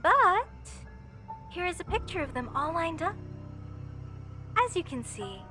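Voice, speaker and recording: Woman acting a high-voiced, obsessed lovesick mortician. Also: sing-song, bright, forceful tone, soft background music, full character voice. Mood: gleeful menace. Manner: slow, tender, deliberate, theatrical. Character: obsessed lovesick female mortician, sweetly menacing. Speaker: voice actor in an audio roleplay. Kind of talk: horror roleplay monologue addressed to listener.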